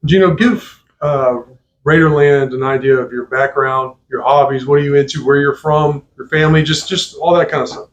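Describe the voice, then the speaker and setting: A man's voice: fairly even intonation, normal speed. A man interviewing a college athlete, asking loose, rambling questions in a casual sports chat. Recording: slightly dull recording with faint rumble.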